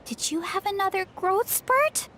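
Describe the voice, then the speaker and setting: A woman's voice: extremely high pitch, expressive, unhurried, pushed and bright, a little hiss or hum, heavily acted. A woman voicing a sweet, modest young female athlete in a video game, earnest and polite, with a bashful manner. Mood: affectionate. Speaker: voice actor in a game. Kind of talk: scripted game dialogue.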